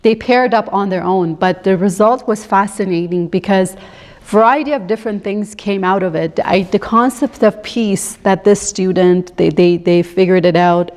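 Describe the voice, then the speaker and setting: A woman's voice: medium pitch, a little mellow. A woman from Canada, born in Iran, giving a reflective, informal conference presentation as an instructor explaining student work. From grew up in Canada, born in Iran. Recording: echoey hall, long reverb.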